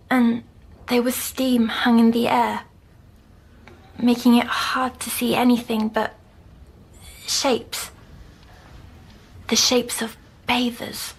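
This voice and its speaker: A woman playing a timid young girl in an audio drama, recounting nightmares in a hesitant, halting way. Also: on the high side, vocal fry, slightly rough, exaggerated voice. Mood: uneasy. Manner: hesitant, halting, breaking off mid-phrase.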